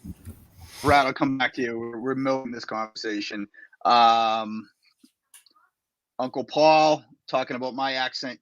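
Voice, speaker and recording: A man's voice: mid-range voice, steady tone, unhurried, long pauses, fairly bright tone, dry recording, highs cut off. Boston-accented man moderating a live Q&A. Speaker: panel moderator. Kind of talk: hosting a live Q&A.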